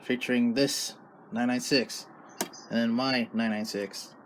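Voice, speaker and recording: A man's voice: mid-range voice, flat delivery, unhurried, a little hiss or hum. A man chatting casually as he hosts a hobby video about his radio gear. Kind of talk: casual hobby vlog chat.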